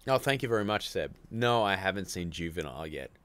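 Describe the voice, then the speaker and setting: Deep-voiced Australian man chatting on a livestream. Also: expressive, a little fast, fairly bright tone, clean tone. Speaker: livestream host. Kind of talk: casual live-stream chat reading viewer comments.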